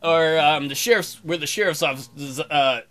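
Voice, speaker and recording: Man, game commentary, fairly high bright voice, jokey, crude, rambling, light music behind. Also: normal speed. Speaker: let's play host. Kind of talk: comic game commentary and read-aloud.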